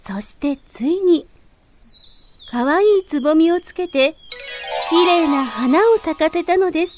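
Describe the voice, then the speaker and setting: A woman storyteller reads a children's fairy tale. Her voice sits very high, and she delivers the narration as a scripted picture story for small listeners. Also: expressive, measured pace, a little mellow, faint music behind.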